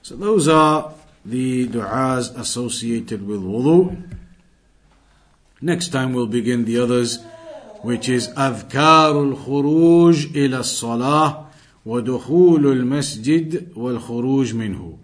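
A man's voice: medium pitch, moderate pace. A man giving a religious lesson, reading out Arabic texts and explaining them in English, restating key points as he instructs. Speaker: religious teacher leading a weekly class.

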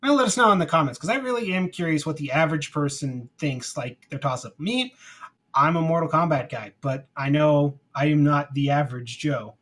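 A man's voice: fairly high pitch, a little fast, fairly bright tone. A man chatting casually as a podcast co-host, giving his personal opinions. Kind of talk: casual chat.

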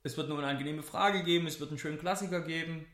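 A man's voice: a little high, very fast, a bit bright, reverberant. A man co-hosting a podcast in casual chat, enthusiastic and rambling with restarts. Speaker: podcast co-host.

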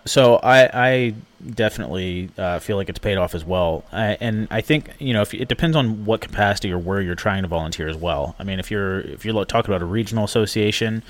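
A man with a very deep voice talks through his views in a casual panel discussion. He speaks in a measured way, with plenty of "you know" and "uh" as he builds his points. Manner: measured, many fillers. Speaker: panel guest, association board member.

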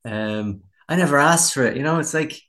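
A man's voice: on the high side, moderate pace, sharp tone. An Irish man in his forties, a musician chatting casually in an interview with a wry, self-deprecating manner. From Ireland. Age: 40s.

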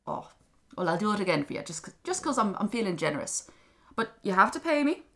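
A woman's voice: medium pitch, big pitch swings, fast talker, vocal fry, pushed and bright, full character voice. A woman voicing a sly little man-like creature with an Irish accent, wheedling and patronising as in a fairy tale. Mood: knowing, bargaining. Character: small sly man-shaped creature, gleeful bargainer.

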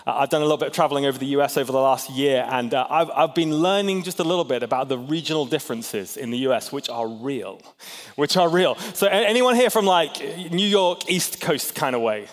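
A man's voice: medium pitch, fairly quick. A man in his thirties, raised in Hong Kong, preaching a chatty sermon in a church and asking the crowd questions with self-deprecating humor. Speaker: pastor. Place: large church hall. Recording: long hall reverb.

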